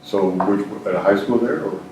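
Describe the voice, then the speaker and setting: A man's voice: fairly low pitch, normal speed, darkish tone. Older male interviewer in an echoey room. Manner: curious, chatty, probing questions. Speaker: interviewer, film historian. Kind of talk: casual conversational interview. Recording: echoey room, distant mic, faint noise.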